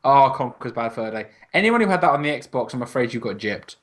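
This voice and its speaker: A man's voice: mid-range voice, very animated, fairly quick. A man chatting casually and giving opinions as co-host of a gaming podcast.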